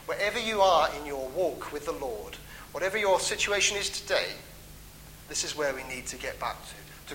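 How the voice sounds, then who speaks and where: Male preacher, earnest and pleading, bright forceful tone, faint hum behind. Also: on the high side, rough recording. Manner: earnest, pleading, with emphatic rises and soft trailing endings. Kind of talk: sermon on a psalm.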